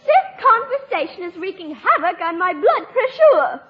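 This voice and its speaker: An actress playing a dramatic teenage daughter putting on genteel airs in a 1950s radio sitcom. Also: female voice, very high-pitched, big pitch swings, normal speed. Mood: affected, lofty. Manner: affected, dramatic, mock-genteel. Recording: muffled old radio recording, loud audience, hiss.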